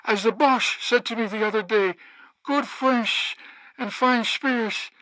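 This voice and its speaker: A man's voice: extremely high pitch, a little slow, sharp tone, no room sound, full character voice, rough recording. An actor playing a tipsy male priest fond of spirits, rambling theatrically in a comedic video game. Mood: tipsy, pious.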